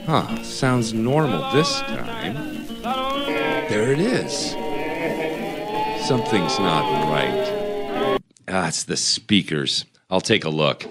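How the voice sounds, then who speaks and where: A low-voiced, reclusive record collector. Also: male voice, exaggerated voice. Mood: puzzled, muttering. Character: reclusive, defensive male record collector and music writer. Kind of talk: audio drama acting. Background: music.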